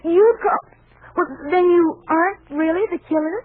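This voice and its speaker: An actress playing a plucky, sarcastic and excitable young woman in a 1940s radio comedy. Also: very high-pitched, normal speed. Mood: alarmed, threatening. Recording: old 1940s radio recording, phone-like.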